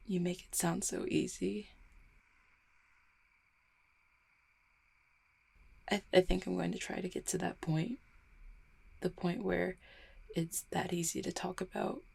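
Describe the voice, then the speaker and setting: A woman's voice: on the low side, steady tone, a little mellow, exaggerated voice. A woman acting a guarded, heartbroken small-town mail carrier in an audio roleplay, stammering and blaming herself. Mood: subdued, recovering. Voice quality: husky, creaky. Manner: halting, stammering, self-deprecating. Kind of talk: roleplay audio drama, intimate one-sided conversation.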